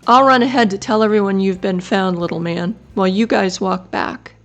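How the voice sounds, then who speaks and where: A woman's voice: mid-range voice, normal speed, soft background music, lightly acted. A woman doing a firm, confident horse. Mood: friendly. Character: steady, protective adult horse, calm and strong.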